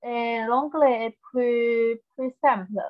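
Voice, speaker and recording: A Taiwanese woman whose first language is Mandarin, speaking French slowly and hesitantly on a video call. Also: a little high, steady tone. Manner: slow, hesitant French learner with many pauses. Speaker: interview guest. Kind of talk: casual interview chat. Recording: tinny phone-like line.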